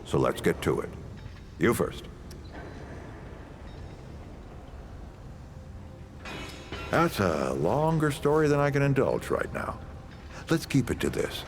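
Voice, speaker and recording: An actor playing an old, folksy, world-weary leader and storyteller in a video game, with a gravelly voice and unhurried delivery. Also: male voice, mid-range voice, wildly swooping pitch, faint music behind. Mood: wry, welcoming. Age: older. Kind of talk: video game character dialogue.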